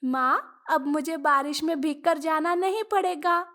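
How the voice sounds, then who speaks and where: Woman voicing an earnest little schoolboy, high and slow. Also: a bit bright. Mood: eager. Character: poor, dutiful, anxious young schoolboy. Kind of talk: Hindi moral-story cartoon dubbing.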